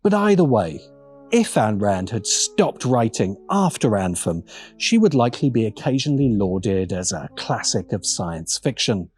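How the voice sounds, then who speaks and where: Slow, animated male narrator. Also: fairly low pitch, slightly rough. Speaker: video essay narrator. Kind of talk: scripted narration. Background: background music.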